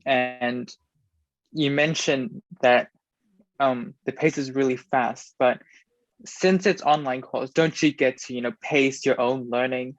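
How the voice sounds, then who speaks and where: Teenage boy, from Hong Kong, slow pace, muffled dry recording. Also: mid-range voice, fairly even intonation, no echo. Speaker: student guest. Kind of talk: casual podcast chat.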